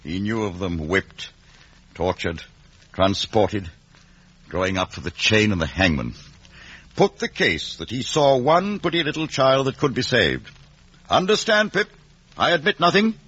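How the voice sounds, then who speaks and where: A shrewd middle-aged lawyer in a vintage radio play. He is guarded and commanding, with a husky voice and very lively, deliberate emphasis. The sound is dry and muffled. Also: on the low side, dry recording, full character voice. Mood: guarded, insistent. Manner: emphatic, deliberate cross-examining. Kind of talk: 1950s radio drama acting. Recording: dull, roomless old radio recording.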